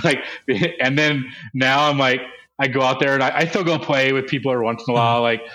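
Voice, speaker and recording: A man's voice: medium pitch, fairly even intonation, fast talker, sharp tone, poor audio. A man in his thirties, a guest on a podcast, talking casually with frequent laughs and stuttered starts. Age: 30s. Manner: laughing, repeats words when starting. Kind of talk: casual chat.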